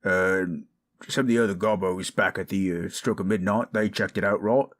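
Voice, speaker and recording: A man playing a gruff, weary but good-hearted orc adventurer in a fan audio drama, blunt and grumbling. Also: sits low, steady tone, moderate pace, fairly bright tone. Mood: hesitant.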